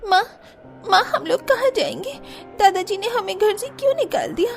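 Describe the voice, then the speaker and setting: A woman's voice: very high voice, very animated, moderate pace, gravelly, faint music behind, exaggerated voice. A woman voicing a small frightened child in a cartoon drama, tearful and pleading. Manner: whimpering, pleading.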